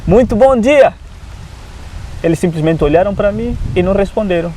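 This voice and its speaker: A man from Africa with an African accent, a non-native Portuguese speaker, telling his testimony as an Adventist preacher in an animated way, often adding "né". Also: sits high, normal speed, a little hiss or hum. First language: not Portuguese. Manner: animated storyteller who often adds "né". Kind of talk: personal testimony in conversation.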